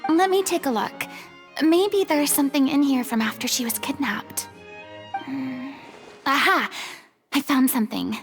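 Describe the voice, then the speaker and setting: An actress voicing an earnest, compassionate young woman investigator in a video game, with a hushed, solemn delivery. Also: high voice, a little slow, creaky, in a large hall, faint music behind. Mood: curious. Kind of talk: video game story voice acting.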